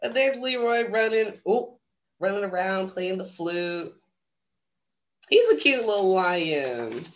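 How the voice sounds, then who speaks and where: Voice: mid-range voice, animated, takes it slowly, fairly bright tone, muffled recording. A woman reading a children's storybook casually, with playful, theatrical asides to the audience. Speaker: drag storytime guest reader. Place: video stream in front of a green screen.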